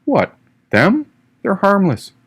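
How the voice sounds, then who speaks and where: A man's voice: high-pitched, wildly swooping pitch, takes it slowly, husky, voice pushed up, heavily acted. A man voicing a free-spirited, teasing young woman in an audiobook, with a breezy, irreverent manner.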